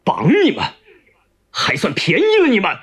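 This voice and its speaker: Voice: mid-range voice, wildly swooping pitch, normal speed, fairly bright tone, husky, heavily acted. Narrator doing a stern, commanding male revolutionary leader. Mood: scornful rebuke. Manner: rebuking, authoritative questioning.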